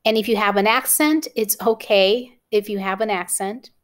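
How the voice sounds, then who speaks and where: A woman's voice: mid-range voice, fairly even intonation, moderate pace. A woman with a Romanian accent teaching an exam-prep lesson to camera, encouraging and often checking in with "okay?". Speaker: English teacher.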